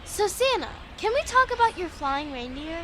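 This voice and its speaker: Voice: very high voice, big pitch swings, normal speed, noisy background. A young performer playing an eager, curious little boy in a children's audio drama, chatty and full of questions. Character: excitable, curious, earnest little boy Luke.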